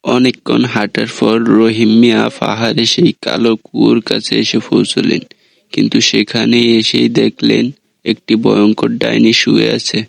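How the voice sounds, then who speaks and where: Monotone male story narrator, creaky voice. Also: lowish voice, normal speed, no room sound. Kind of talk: scripted cartoon story narration.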